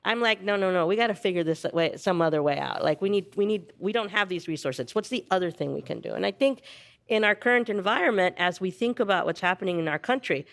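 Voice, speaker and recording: A woman's voice: mid-range voice, fast talker, creaky. A woman in her fifties speaking as a panel guest, reflective and emphatic, often checking in with "right?". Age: 50s. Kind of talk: panel discussion, conversational.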